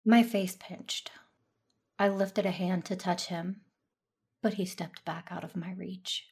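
A woman playing an earnest, restrained Jedi who narrates her own story in a measured way for an audio drama. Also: on the low side, steady tone, a little mellow, breathy edge, exaggerated voice. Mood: regretful, restrained.

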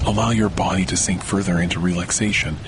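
Male meditation host, low register, calm and measured sleep narration, rain and thunder faintly behind. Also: background noise. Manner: calm, measured, scripted delivery. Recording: rainstorm and thunder under the voice. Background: steady rain and thunder.

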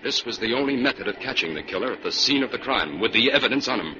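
An actor playing a confident, clever detective in an old-time radio adventure. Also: male voice, medium pitch, animated, quick pace, a crowd in the background, natural voice. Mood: calm, assured. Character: confident, clever male detective in charge of a trap. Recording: muffled, hissy old radio recording.

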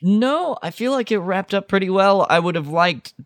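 A man's voice: high-pitched, lively intonation, normal speed, no room sound, clean studio sound. A man co-hosting a podcast, casually discussing films and thinking aloud as he gives his opinions. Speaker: podcast co-host. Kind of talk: casual film discussion chat.

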